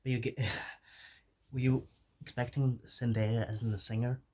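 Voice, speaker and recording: A man playing a shy, awkward zombie in a soft-spoken ASMR roleplay, hesitant and mumbling. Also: medium pitch, level tone, a little slow, lightly acted. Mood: shy, puzzled.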